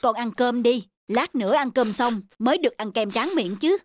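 A man playing a gentle, patient father who explains things to his small son in a cartoon. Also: very high voice, animated, normal speed, a little hiss or hum. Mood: patient, earnest. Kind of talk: cartoon dialogue.

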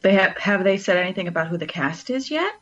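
A woman's voice: mid-range voice, sing-song, fast talker, highs cut off. A woman chatting casually as a fan on a podcast panel.

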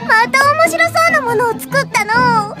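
A woman's voice: very high voice, normal speed, loud music behind the voice. A woman playing a proud, eager young princess in an anime audio drama, speaking in quaint, old-fashioned phrases. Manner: archaic, haughty-cute speech endings.

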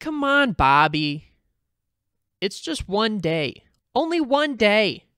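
A performer voicing an excitable, pushy cartoon wife and mother with exaggerated, drawn-out wheedling, in a fan fiction reading. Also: medium pitch, no room sound, clean studio sound. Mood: coaxing.

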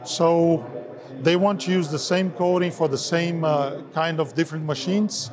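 A man in his forties speaking haltingly with many fillers, interviewed at a trade fair on a lapel mic. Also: a little high, steady tone. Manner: halting, many filler sounds, slightly non-native phrasing. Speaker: sales head of an ink and coatings firm. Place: busy trade fair hall, indoors. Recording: crowd noise of a trade fair. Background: crowd chatter.

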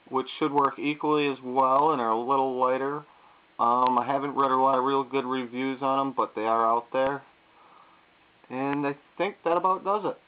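A man casually talking through camping coffee methods at a table indoors, pausing often with "uh" and "um". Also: mid-range voice. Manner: plain, unhurried, frequent "uh" and "um" pauses. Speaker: YouTube vlogger. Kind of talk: casual gear demonstration. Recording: tinny lo-fi audio with faint hiss.

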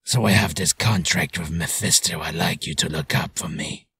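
A man voicing a menacing demonic avenger with a rasping growl, grim and threatening, for a game character reel. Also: sits low, moderate pace, sharp tone, slight background noise, pitched below the performer's own voice, full character voice. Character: menacing male demonic avenger passing judgment.

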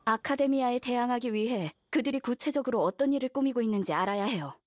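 An actress voices a young woman negotiating in secret in a video game. She sounds polite, earnest and cautious, with a fairly high voice recorded dry. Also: fast, no echo, close to an everyday voice. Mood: cautious, inquiring. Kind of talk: game cutscene dialogue.

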